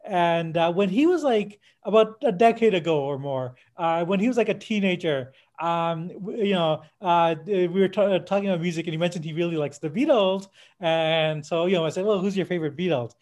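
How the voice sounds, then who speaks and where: A man in his fifties chatting casually on a podcast, halting and digressive, often interrupting himself with tangents. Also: sits high, darkish tone. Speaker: podcast co-host. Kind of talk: casual podcast discussion of music history.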